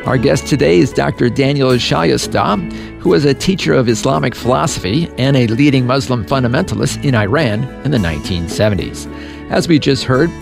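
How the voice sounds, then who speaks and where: A man hosting a faith radio show, reading introductions in a measured way. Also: medium pitch, vocal fry. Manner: measured, reads introductions evenly. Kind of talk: scripted radio hosting and interview.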